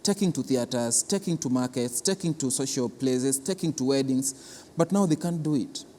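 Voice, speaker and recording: A man with a Kenyan accent explains things as an interviewed official, speaking haltingly and repeating his words. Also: mid-range voice, mellow. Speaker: cultural institution official being interviewed. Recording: reverberant hall with clear background noise.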